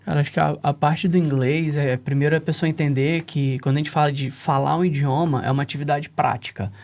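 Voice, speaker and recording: A man's voice: fairly high pitch, steady tone, fairly quick, creaky, darkish tone. A man with a Brazilian accent, explaining emphatically as a guest on a casual panel talk. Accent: Brazilian Portuguese. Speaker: panelist, English teaching founder.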